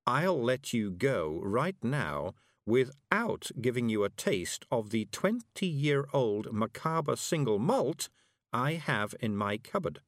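A man voicing a composed, dryly stern female witch in a storybook, speaking in a measured, articulate way. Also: mid-range voice, sing-song, no room sound, clean studio sound, voice pushed up. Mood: teasing threat.

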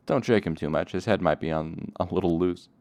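A man's voice: sits low, fairly quick, darkish tone, dry recording. A man voicing a tired, wounded innkeeper who speaks dryly, in a storybook read aloud. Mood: weary, dry. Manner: dry and weary. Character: tired, wounded, dry-witted male innkeeper.

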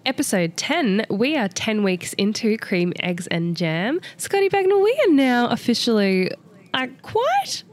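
An Australian woman co-hosting a casual food podcast. She has a cold, so she sounds nasal, and she talks in a relaxed way while explaining food facts. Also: a little high, unhurried. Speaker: podcast co-host. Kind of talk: casual chat.

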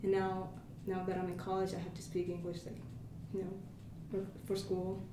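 A woman's voice: lowish voice, completely flat delivery, moderate pace. A young woman from Bolivia, a native Spanish speaker, chatting eagerly on a student podcast with many fillers. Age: young adult. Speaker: student co-host. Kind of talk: casual podcast chat. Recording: distant mic, echoey room, noise.

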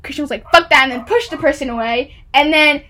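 A young woman with a high, bright voice, chatting casually with friends. She swears freely and talks in loose slang. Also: fast.

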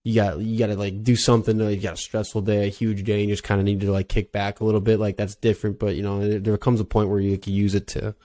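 A man's voice: lowish voice, flat delivery, fast talker, hardly any pauses, mellow. A man with a Wisconsin accent hosting a casual podcast interview, chatty and rambling. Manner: rambling, chatty, self-deprecating. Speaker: podcast host.

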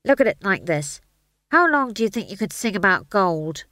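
A gloomy old-hand dwarf, as a woman narrator puts him on grumbles in a weary, dry way. The sound is close and dry, with a pitch that still moves a lot. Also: sing-song, moderate pace, a bit bright, no room sound. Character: Howell, a gloomy, wary male dwarf.

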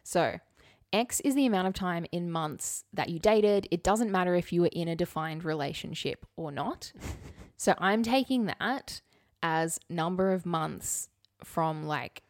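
A woman's voice: fairly low pitch, lively intonation, measured pace, no room sound, clean studio sound. A woman co-hosting a podcast in casual chat, reading bits aloud with wry, candid asides. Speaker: podcast co-host.

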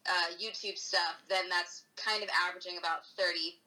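Bright-voiced young American woman. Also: lowish voice, fairly even intonation, normal speed, low-quality recording. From United States. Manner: thoughtful, hesitant with many "um"s and "like"s. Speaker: guest YouTuber. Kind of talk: casual interview chat.

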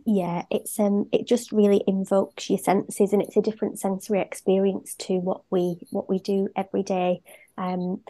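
A mother talking in a gentle, reflective way as a guest, with frequent fillers. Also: mid-range voice, level tone, moderate pace. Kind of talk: casual conversation.

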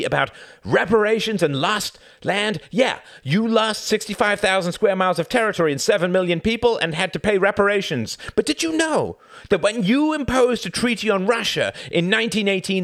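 A man doing a furious shouting young pilot. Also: sits high, expressive, moderate pace, gravelly, pitched above the performer's own voice, exaggerated voice.

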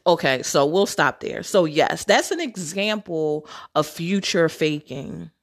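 Sassy woman with a low voice. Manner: candid, sassy, swears freely, laughs often; addresses listeners as sisters and girl. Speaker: podcast host. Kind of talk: casual storytelling podcast.

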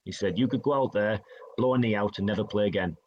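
A man, telling stories from his career in a relaxed interview, often acting out what others said to him. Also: fairly low pitch, fast, lo-fi sound. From Northeast England. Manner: chatty, self-deprecating, quotes others in dialogue. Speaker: guest, hockey player. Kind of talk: casual interview storytelling.

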